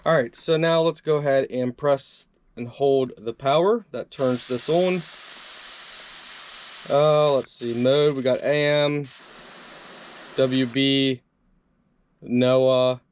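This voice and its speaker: A man's voice: medium pitch, fairly even intonation, slow, pauses often, slight background noise. A man doing a casual, upbeat hands-on product review, chatting to his viewers as he tries things out.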